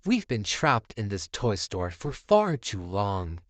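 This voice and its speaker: Man doing a storybook space-ranger toy, solemn, declamatory, heroic, slow, dry recording. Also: fairly low pitch, big pitch swings, fairly bright tone, no room sound, exaggerated voice. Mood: solemn, resolute.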